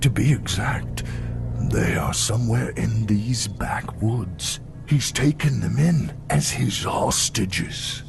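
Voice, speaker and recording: A man voicing a gigantic, gentle abominable snowman in an audio storybook, solemn and gravelly, with a halting, drawn-out delivery. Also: mid-range voice, music in the background. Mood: sorrowful. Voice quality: gravelly, creaky.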